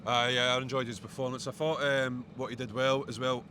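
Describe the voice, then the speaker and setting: A man with a medium-pitched voice speaks at a rapid pace in casual football fan talk. The recording is outdoors, with faint urban noise in the background. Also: level tone, sharp tone. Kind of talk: casual post-match reaction chat. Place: outdoors outside a football stadium. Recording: outdoor street noise behind.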